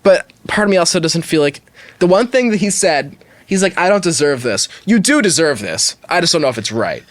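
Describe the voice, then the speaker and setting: A man's voice: a little high, big pitch swings, brisk, dry recording. Emphatic man in casual podcast chat, dry sound. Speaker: podcast co-host. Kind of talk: casual opinionated discussion.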